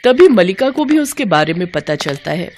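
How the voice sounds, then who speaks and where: A woman's voice: medium pitch, sing-song, moderate pace, darkish tone, slight background noise. A woman narrating a scripted children's bedtime story as storyteller, with music behind her.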